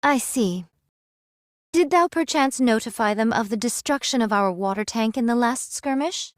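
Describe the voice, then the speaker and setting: A woman acting as an eccentric young android from an anime game, high in pitch and very dry in sound. She speaks with solemn, theatrical grandeur, in archaic and formal turns of phrase. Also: expressive, normal speed, no echo, lightly acted. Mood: grave, despairing.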